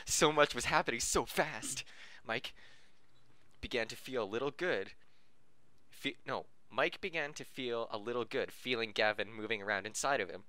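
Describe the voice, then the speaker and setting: A man hosting a podcast reads a story aloud in a bright, forward voice with very little room sound. He is amateurish and giggly, and he trips over words and restarts phrases. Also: medium pitch, moderate pace, no echo. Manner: stumbles over the text, giggly. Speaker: podcast host reading fan fiction aloud. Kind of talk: amateur story reading, often breaking up.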